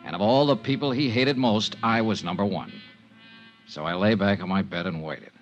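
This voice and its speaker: A man's voice: fairly low pitch, normal speed, dull audio, natural voice. An actor playing a tough, world-weary insurance investigator who narrates his case in terse, clipped, brooding lines in a 1950s radio drama. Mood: tense, resigned. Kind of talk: 1950s radio drama acting, hardboiled first-person narration. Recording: 1950s radio recording with organ music.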